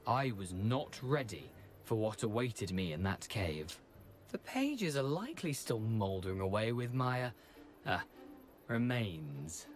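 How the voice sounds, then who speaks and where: An actor playing a headless schoolboy ghost in a video game, British, chatty and theatrical, with rueful, self-deprecating wit. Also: male voice, lowish voice, big pitch swings, unhurried, faint music behind. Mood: rueful, wry. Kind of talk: video game character acting.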